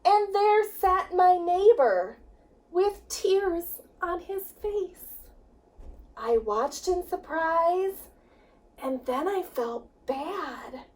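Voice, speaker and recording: A woman reads a children's story in a high voice, taking her time over every phrase. Her delivery is expressive and swings widely, with dramatic pauses between lines. She sounds set back from the microphone. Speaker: children's director reading a picture book. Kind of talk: children's story read-aloud. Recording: distant microphone.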